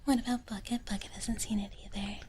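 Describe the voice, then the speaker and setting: A woman streamer whispering gently and breathily in a live ASMR roleplay as a sweet dragon big sister, close to the mic. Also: mid-range voice, level tone, brisk, slight background noise. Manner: gentle, breathy whispering, refers to herself by name in third person. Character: sweet, childlike dragon big sister who loves treats. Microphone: close ASMR microphone.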